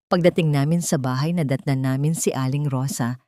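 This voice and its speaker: A woman reading a scripted first-person story in a solemn, melodramatic, deliberate manner. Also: very deep voice, dark tone, dry recording, clean studio sound.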